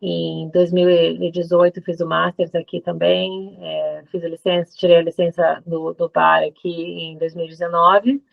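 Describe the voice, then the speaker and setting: A woman from Brazil, a lawyer introducing herself in a webinar, brisk and self-correcting. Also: lowish voice, level tone, gravelly. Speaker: lawyer guest. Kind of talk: webinar presentation. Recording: dull lo-fi webinar audio.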